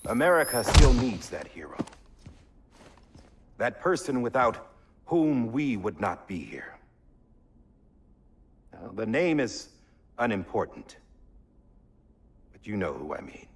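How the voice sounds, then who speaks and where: A husky solemn president. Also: male voice, on the low side, big pitch swings, heavily acted. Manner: solemn, oratorical, measured. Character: solemn middle-aged male president giving speech. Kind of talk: speech in a video game cutscene.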